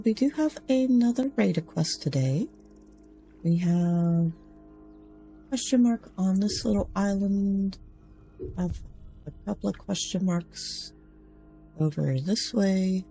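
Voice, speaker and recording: A man hosting a gaming channel, chatting casually through a playthrough while his voice is rough from sinus trouble. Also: high-pitched, expressive, takes it slowly, pauses often, mellow, soft background music. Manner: chatty, talks to the game and himself. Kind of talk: casual game commentary.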